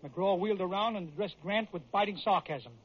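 A man's voice: high-pitched, a little fast, husky. A man with an American accent delivering emphatic, theatrical scripted sports stories in an old-time radio style. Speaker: radio storyteller and sports host. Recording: dull vintage radio recording.

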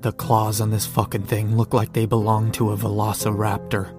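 Weary, sardonic male survivor, actor-played, low and flat, narrating a horror audio diary over soft music. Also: normal speed, natural voice. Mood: grim. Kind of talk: first-person dramatic diary narration.

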